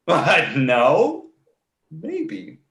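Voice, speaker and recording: A man voicing a cheeky, crude talking baby boy in an animated comedy table read, sarcastic and blunt. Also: a little high, drawn-out words, gravelly, full character voice. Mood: indignant. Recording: roomy echo.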